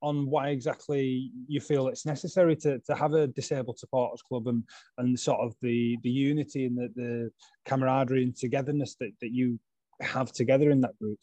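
Guy hosting a podcast, mellow tone, keeps tripping over words, dead dry audio. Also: mid-range voice, fairly even intonation, brisk, no room sound. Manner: stumbling, repeats words. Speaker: podcast host.

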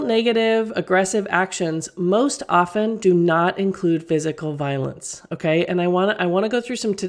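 A middle-aged woman hosting a podcast as a teacher, getting over a cold, explaining things earnestly with fillers and restarts. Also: fairly low pitch. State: recovering from a cold. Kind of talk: solo podcast talk.

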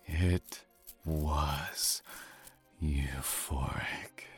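An actor playing a sadistic serial killer in an audio thriller, theatrical and menacing, slipping into breathy whispers as he taunts. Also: rumbling low, extremely slow, soft background music, heavily acted. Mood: darkly reminiscing. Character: sadistic male serial killer toying with his captive. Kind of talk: dramatic audio thriller acting.

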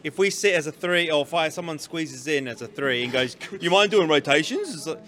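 Brief: slightly high, bright male co-host, relaxed and matter-of-fact. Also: fairly quick. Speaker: podcast co-host. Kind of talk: casual debate.

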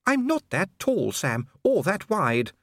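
Man putting on a high-pitched genteel noblewoman. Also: wildly swooping pitch, slow pace, a bit bright, dry recording, studio quality, full character voice. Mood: gently reproving, uneasy. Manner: polite, genteel, exclamatory. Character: well-bred, kindly, gently reproving noblewoman wife.

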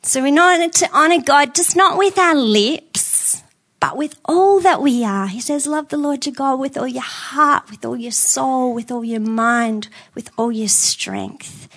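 A woman's voice: high voice, measured pace, fairly bright tone. A woman preaching a conversational sermon in a church, earnest, with self-deprecating asides. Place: church auditorium with screens.

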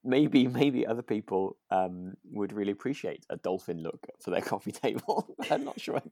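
A British man co-hosting a podcast in casual chat, tentatively softening his opinions with little asides. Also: lowish voice, sing-song, moderate pace, no room sound, clean studio sound. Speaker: podcast co-host, actor.

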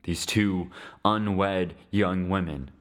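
A man playing a lonely, resigned Syrian widower in his seventies in a dramatic podcast monologue, halting and reflective. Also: deep voice, steady tone, fairly bright tone, heavily acted. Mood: pained, defensive. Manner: slow, halting, reflective delivery with weighty pauses.

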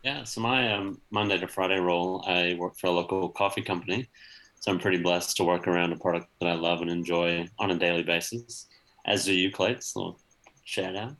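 A man talks as a podcast guest over a video call. His voice is very deep. He speaks in a relaxed, joking way. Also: brisk, low-quality recording. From small town in Oklahoma, USA. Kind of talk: casual interview chat.

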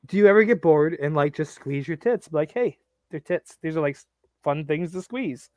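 A man in his late thirties talks in loose, crude banter, rambling and joking as he goes. His voice sits a bit high for a man. The sound is close and dry, with no room around it. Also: animated, moderate pace, warm tone, no echo. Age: 30s. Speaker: podcast co-host.

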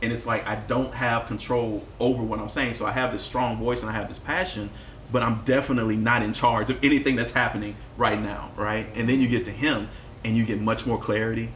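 A man speaking as a musician guest in a candid podcast conversation, telling personal stories in a reflective, expressive way with casual swearing. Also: mid-range voice, brisk. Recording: roomy echo with faint background noise.

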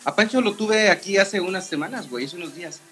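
A man's voice: high-pitched, fast talker, pushed and bright. A man with a Mexican Spanish accent hosting a casual talk, slangy and familiar, swearing freely. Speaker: host. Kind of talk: casual conversation.

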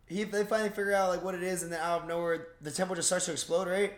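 A man with a slightly high voice talks movies casually as a podcast co-host. His delivery is halting and informal, and the room echoes clearly around him. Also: steady tone. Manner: halting, informal, mild swearing.

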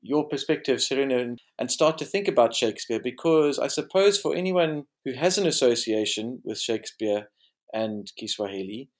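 A man's voice: mid-range voice, expressive, normal speed. A man from Africa, moderating an academic panel with long, carefully framed questions. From South Africa.

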